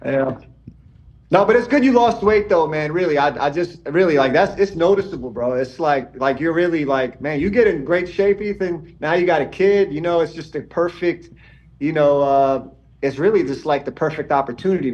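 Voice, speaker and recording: A man's voice: on the high side, normal speed, darkish tone, lo-fi sound. A man in his forties, a podcast guest calling in from his home, talking in a laid-back, rambling way full of "man" and "you know.". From Israeli and Iranian background. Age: 40s. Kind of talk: casual conversation. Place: home in Germany, remote call.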